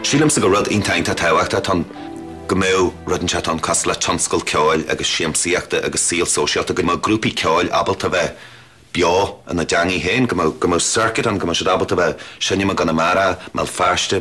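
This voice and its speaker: A middle-aged man from Ireland speaking in a radio studio, explaining quickly and with animation. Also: sits low, fairly even intonation, bright, forceful tone, breathy edge, soft background music. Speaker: radio station interviewee.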